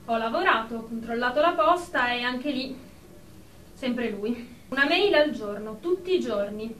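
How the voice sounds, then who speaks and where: Radio comedy: actress as snobbish, short-tempered female executive, high register, precise delivery, faint noise. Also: expressive, normal speed, fairly bright tone. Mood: self-important, aggrieved. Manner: snappish, self-important, precise. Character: haughty, irritable businesswoman in group therapy. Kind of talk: comic radio drama dialogue. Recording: roomy, distant mic with faint noise.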